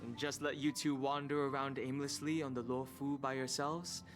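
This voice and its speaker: Young male companion for a game actor performing: dry, earnest, medium pitch, prominent music underneath. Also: little pitch movement, moderate pace, natural voice. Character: cool, serious young male guard companion. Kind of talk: video game voice acting. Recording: music playing clearly behind the voice.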